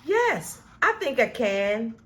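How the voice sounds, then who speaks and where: A woman's voice: fairly high pitch, very animated, takes it slowly, fairly bright tone, slight background noise, full character voice. A woman voicing a small, eager, helpful female train engine in a children's storybook. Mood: hopeful. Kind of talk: children's storybook character reading.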